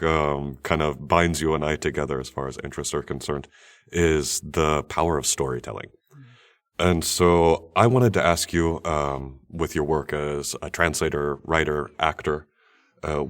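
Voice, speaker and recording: A man's voice: extremely low pitch, normal speed. A man hosting a podcast interview, listening closely and asking questions. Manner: attentive, frequent short backchannels. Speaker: podcast host.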